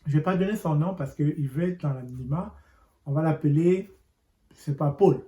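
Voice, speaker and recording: A male interviewer with a mellow, somewhat high voice asks measured, inviting questions. His voice carries a noticeable roomy echo. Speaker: interviewer host.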